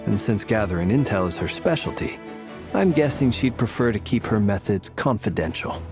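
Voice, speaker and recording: A man voicing a formal, smoothly polite male character with a wry edge, in video game dialogue. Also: lowish voice, lively intonation, moderate pace. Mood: suave. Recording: game audio with soft music behind.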